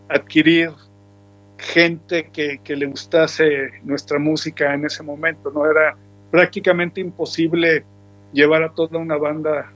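A man, a musician guest speaking reflectively in a relaxed radio interview and often restarting his phrases. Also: on the high side. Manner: reflective, rambling with frequent restarts. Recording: dull lo-fi recording with faint music.

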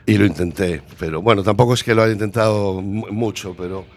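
A man with a Galician Spanish accent and a very deep voice speaks as the guest in a relaxed interview. He talks about his music haltingly, stopping to search for words and gently putting himself down. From Galicia, Spain. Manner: hesitant, self-deprecating, searching for words. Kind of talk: casual interview chat.

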